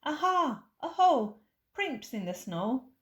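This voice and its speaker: A woman voicing the brave, curious young child of a storybook monster, calling out with high excitement. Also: takes it slowly, full character voice. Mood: excited.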